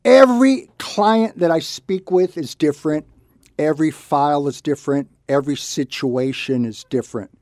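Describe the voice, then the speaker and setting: A man presenting a conversational advice segment on the radio. His voice sits a little high but is soft-edged and mellow, recorded very dry. He makes his points in short, repeated clauses with clear emphasis. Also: moderate pace, no room sound. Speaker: radio show host and reverse mortgage loan officer. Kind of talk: conversational explanatory radio talk.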